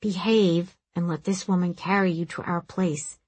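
Woman as a gentle, husky young man. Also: on the low side, steady tone, normal speed, no echo. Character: kind, earnest, soft-spoken young man.

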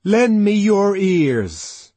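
A man voicing an impassioned young male savage who calls out in a loud, ringing, earnest voice. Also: extremely high pitch, flat delivery, drawn-out words, no echo, pitched above the performer's own voice, full character voice.

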